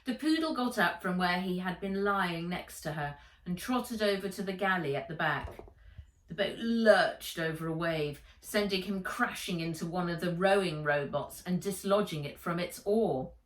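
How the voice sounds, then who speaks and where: A woman reading a children's adventure story aloud in an expressive, deliberate storytelling manner. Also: on the low side. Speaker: author reading own book aloud. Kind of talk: dramatized children's audiobook narration. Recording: roomy echo, distant microphone.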